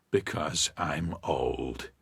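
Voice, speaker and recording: A man playing a gentle, reflective preacher of seventy-six in a first-person audiobook, with a measured, husky, aged voice. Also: extremely low pitch, no echo, heavily acted. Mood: tender. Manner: measured, tender, musing. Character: gentle, reflective 76-year-old Midwestern preacher writing to his son. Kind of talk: first-person audiobook narration with acted dialogue.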